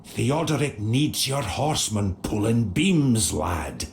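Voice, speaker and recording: A man's voice: on the low side, sing-song, takes it slowly, creaky, a little hiss or hum, full character voice. An actor playing a battle-eager old medieval knight and mentor in a game, hearty, blustering and fatherly. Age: older. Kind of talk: dramatic video game cutscene acting.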